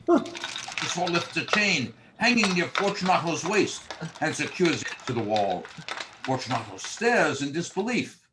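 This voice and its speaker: A man's voice: a little high, noisy background. A man narrating a gothic horror drama from a script in a theatrical, storytelling style.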